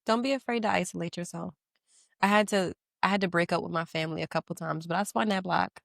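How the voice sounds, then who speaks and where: Low-voiced woman in her thirties. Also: fast talker, gravelly, no echo, clean studio sound. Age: 30s. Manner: candid, animated, laughs often, slangy. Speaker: podcast guest. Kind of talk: casual interview chat.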